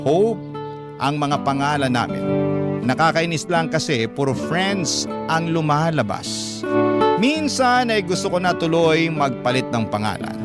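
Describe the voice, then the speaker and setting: A man narrating a first-person story as the host of a radio drama. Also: fairly high pitch. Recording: loud guitar music behind.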